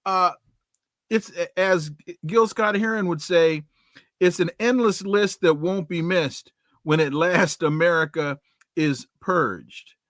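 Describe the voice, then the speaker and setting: A man's voice: high-pitched, slow, a bit bright, no room sound. Emphatic male podcast host, dry sound. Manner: emphatic, rhetorical questions and folksy sayings. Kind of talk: news commentary and interview opening.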